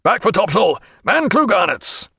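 A man's voice: mid-range voice, very animated, fairly quick, fairly bright tone, no room sound, studio quality. A man voicing a bold, hot-blooded frigate captain in an audiobook, barking orders in a commanding manner. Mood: roaring command. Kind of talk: audiobook character voice.

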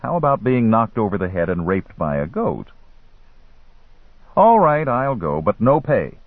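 A guarded American man traveling abroad, a storybook character, read by a male narrator. He is sardonic and dismissive, with a very mobile pitch. The recording is thin and radio-like. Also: very animated, a little slow, no room sound, phone-quality sound, exaggerated voice. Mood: sardonic, wary.

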